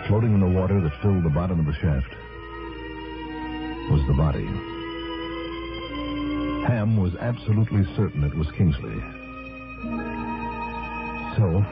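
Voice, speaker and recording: An actor playing a wry, inquisitive American private investigator in a 1960s radio drama, talking and narrating his case. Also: low voice. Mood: grave narrating. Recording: muffled, noisy vintage radio broadcast with music.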